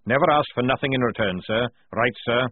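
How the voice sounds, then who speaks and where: A man voicing a gruff, loud old army sergeant who barks rough, forceful speech. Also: medium pitch, fairly quick, no room sound, natural voice. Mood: roaring, defiant. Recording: dry, tinny phone-like sound.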